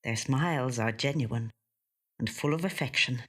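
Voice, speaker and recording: A woman reading a first-person literary short story in a measured, gentle storytelling manner. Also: rumbling low, husky. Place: audio studio.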